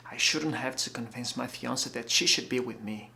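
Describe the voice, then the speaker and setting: A man performs a jealous, hurt young fiancé in a romantic drama. He is proud yet pleading and presses his case earnestly. The voice has a creaky grain and a bright, tense edge. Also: medium pitch, steady tone, a little slow. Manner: earnest, insistent.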